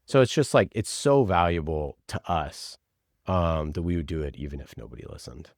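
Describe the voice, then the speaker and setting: A man's voice: deep voice, animated, moderate pace, no room sound. A man speaking as an interview guest, answering casually and emphatically, with informal asides in which he quotes himself and others. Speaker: podcast producer, interview guest.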